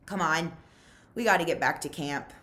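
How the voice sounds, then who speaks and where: A woman's voice: deep voice, moderate pace, a bit bright, reverberant. A woman reading the lines of an earnest, urgent teenage boy in a storybook, with a pleading manner.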